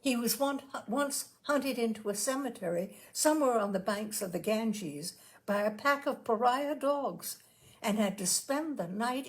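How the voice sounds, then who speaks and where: A woman voicing a self-possessed teenage girl who tells tales calmly and matter-of-factly in a storybook reading. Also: fairly high pitch, creaky. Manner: calm, matter-of-fact storytelling.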